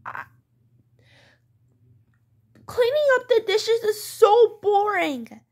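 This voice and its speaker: Woman putting on a whiny, very high-pitched defiant little girl. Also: lively intonation, darkish tone, exaggerated voice. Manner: whining, petulant, drawn-out protests. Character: whiny, defiant young daughter refusing chores. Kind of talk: homemade pretend-play skit.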